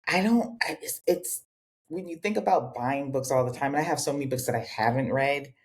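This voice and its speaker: A woman's voice: brisk, slightly rough. A woman hosting a casual talk show with a co-host, chatting animatedly and swearing freely, from Rhode Island. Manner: animated, swears casually, big pitch jumps. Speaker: podcast host. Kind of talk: casual chat.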